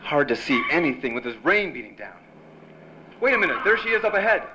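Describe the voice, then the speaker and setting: Animated radio-drama reporter hero. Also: male voice, on the high side, fast. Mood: determined. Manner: theatrical, emphatic delivery. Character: earnest young male reporter hero, urgent and romantic. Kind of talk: old-time radio drama acting. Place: stage before a live audience. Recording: dull, roomy live stage recording, loud noise.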